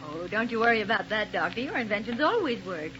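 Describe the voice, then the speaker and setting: Curious young woman lieutenant played by an actress, lively animated voice, muffled hissy old radio recording. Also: medium pitch, quick pace, close to an everyday voice. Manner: curious, eager questioning. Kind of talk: 1930s radio acting. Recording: muffled 1930s radio drama recording with heavy hiss.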